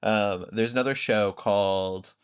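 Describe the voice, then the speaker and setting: Guy cohosting a podcast, lowish voice, animated rambly storytelling, super casual. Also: a little slow, clear, resonant tone. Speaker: podcast host.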